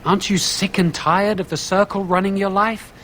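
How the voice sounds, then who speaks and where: Earnest high-voiced young mage. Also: male voice, steady tone, moderate pace, a bit bright, slight background noise. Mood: persuasive. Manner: urgent, persuasive. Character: earnest, pleading young male mage apprentice. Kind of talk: scripted video game dialogue.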